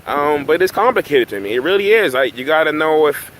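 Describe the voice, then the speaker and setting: A man's voice: on the high side, expressive, brisk, a bit bright, rough recording. Middle-aged male numerology coach, loose and conversational, recorded outdoors with some faint road noise. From Barbados. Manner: conversational, often tags "right?". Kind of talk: casual vlog monologue. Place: outdoors in a park by road works.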